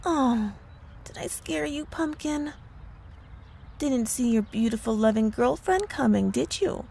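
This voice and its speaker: A woman playing a possessive witch girlfriend in an ASMR roleplay, cooing and teasing with cutesy sweetness and quiet menace. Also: a little high, animated, unhurried, creaky, slight background noise. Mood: teasing, gloating. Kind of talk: ASMR roleplay audio drama.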